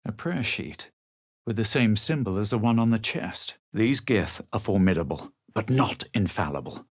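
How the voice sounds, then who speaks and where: An actor playing a haughty, self-assured adventurer in a video game, with a superior, measured, dramatic delivery. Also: male voice, lowish voice, animated, dry recording, clean studio sound. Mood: cool, disdainful. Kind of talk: video game character voice lines.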